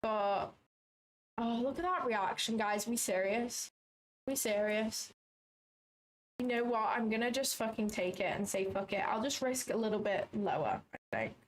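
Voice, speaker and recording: A woman's voice: on the high side, lively intonation, a little slow, poor audio. A woman hosting a trading livestream from her office, chatting casually, thinking aloud, second-guessing herself and swearing now and then. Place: small office.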